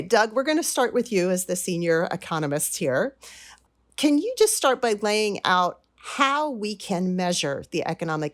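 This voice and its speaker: A woman with a hoarse voice hosting a podcast and asking informal interview questions. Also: on the low side, no room sound. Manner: informal, restarts her questions. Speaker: podcast host.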